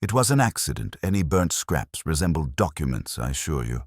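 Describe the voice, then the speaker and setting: A man's voice: extremely low pitch, sing-song, brisk, no room sound, clean studio sound, exaggerated voice. A man voicing a pompous, blustering small-town mayor in an audiobook mystery, grumbling with ponderous indignation. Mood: indignant.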